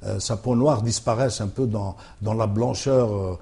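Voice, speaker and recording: A man being interviewed about his books, speaking in a halting way with many restarts and fillers as he thinks aloud. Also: fairly low pitch, mellow, breathy edge. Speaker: author, interview guest. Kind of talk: hesitant conversational interview.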